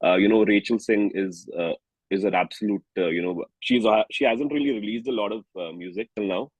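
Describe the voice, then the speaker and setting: A man with an Indian accent chatting casually as an interview guest, halting and candid as he searches for words. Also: on the low side, slightly rough, dry recording, rough recording. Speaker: singer-songwriter guest.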